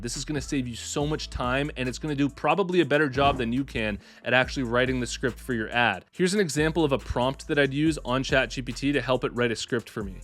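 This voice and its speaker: A man with a Canadian accent hosts a quick online tutorial, upbeat and slangy with a salesy push. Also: mid-range voice, a bit bright. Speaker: host. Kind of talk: fast tutorial presenting.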